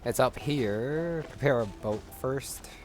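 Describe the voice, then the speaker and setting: A man voices a thoughtful, easygoing traveler from a video game. His mid-range voice is casual, with halting phrases. Faint music sits behind it. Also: mid-range voice, natural voice. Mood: musing.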